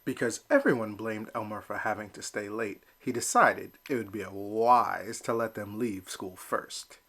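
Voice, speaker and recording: A man reading a children's picture book aloud, expressive and animated. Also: lowish voice, measured pace. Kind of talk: children's read-aloud narration.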